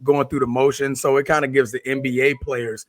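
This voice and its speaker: A young hoarse-voiced man co-hosting a podcast, talking sports in an animated way and calling people "man" and "brother". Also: medium pitch, brisk, no echo. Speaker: podcast co-host. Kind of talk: casual sports chat.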